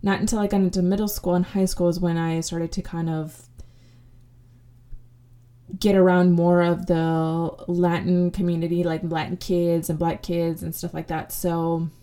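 A woman's voice: fairly low pitch, flat delivery, normal speed, dark tone. A young woman, a podcast host whose first language is Spanish, talking through her childhood in a reflective way, with lots of ums and restarts. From United States. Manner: reflective, many fillers and restarts. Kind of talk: casual personal storytelling.

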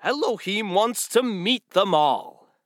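Animated, bright-voiced talking donkey. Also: male voice, high-pitched, slow, no echo, clean studio sound, exaggerated voice. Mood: earnest. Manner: animated, folksy storytelling. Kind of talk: children's audio drama acting.

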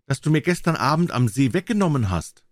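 Young enchanted princess for a storybook, played by a male reader: high, earnest, plaintive, softly urgent. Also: sing-song, brisk, no room sound, studio quality, natural voice. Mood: pleading. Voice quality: soft. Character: pleading young enchanted princess, captive of a witch. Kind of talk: fairy tale character voice.

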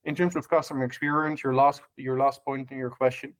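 Dutch man, mid-pitch, chatty guest who hesitates a lot and keeps checking "right?". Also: little pitch movement, a little fast. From Netherlands. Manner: many fillers and restarts, tag questions. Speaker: guest, hospitality tech lead. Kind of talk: casual podcast interview.

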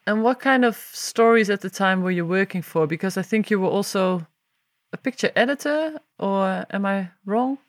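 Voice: mid-range voice, moderate pace, no echo. An interviewer with a mid-range voice asks long, searching questions, sometimes circling back to rephrase them. The recording is dry and close.

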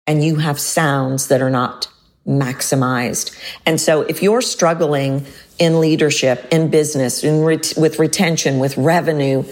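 A middle-aged woman with a Texan accent, hosting a solo podcast as a voice coach, leaping up in pitch to stress words and slowing on key points. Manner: expressive, leaps up in pitch for emphasis, slows on key points.

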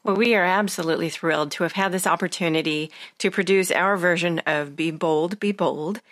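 A woman's voice: lowish voice, fairly quick, fairly bright tone, no room sound. A woman hosting an interview in a formal, courteous, carefully worded way, as if from prepared questions. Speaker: interviewer and director.

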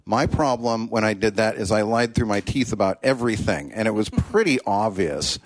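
A man's voice: fairly low pitch, normal speed. A man co-hosting a talk radio show, joking and stammering over his restarts as he wraps up a segment.

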